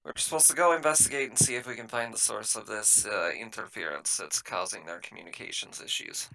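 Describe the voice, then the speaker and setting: Bright-voiced man roleplaying a briefing. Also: lowish voice, brisk, creaky, low-quality recording. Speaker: tabletop game player. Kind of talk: improvised in-character roleplay.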